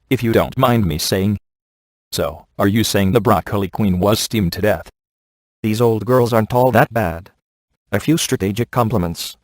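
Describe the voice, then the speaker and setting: An actor playing a sardonic, laid-back male ghost hunter in a video game, delivering wry scripted quips in a casual deadpan manner. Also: fairly low pitch, no echo, close to an everyday voice. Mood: smooth, flirtatious. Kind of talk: scripted game dialogue, dry wisecracks.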